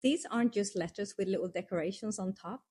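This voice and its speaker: Woman, husky, mellow, calm scripted lesson narration, reassuring. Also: mid-range voice, fast talker. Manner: calm, reassuring, explains step by step. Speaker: course narrator.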